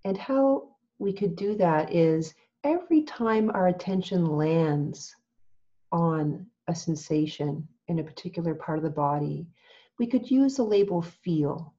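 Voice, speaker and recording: Female meditation guide, lowish soft-edged voice, very unhurried and calm, slightly dull lo-fi recording. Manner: calm, gentle, measured with long pauses. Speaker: meditation teacher.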